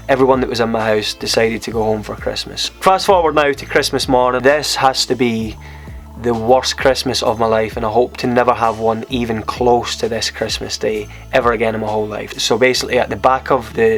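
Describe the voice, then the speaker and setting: A man's voice: medium pitch, steady tone, moderate pace, fairly bright tone, soft background music. A young Scottish man vlogging, telling a personal story to camera in a candid, rambling way. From Scotland. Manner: rambling, candid, many fillers and restarts.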